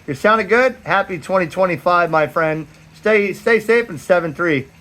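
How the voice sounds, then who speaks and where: A man's voice: sits high, lively intonation, moderate pace, noisy background. An American man chatting plainly and informally outdoors, switching between casual talk and amateur radio calls. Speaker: radio hobbyist making videos. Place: backyard by a metal shed, outdoors.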